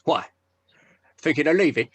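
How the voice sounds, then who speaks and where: A man's voice: a little high, expressive, moderate pace, husky, no echo. A man playing a wry, needling band member in a script read-through, with a dry, teasing manner.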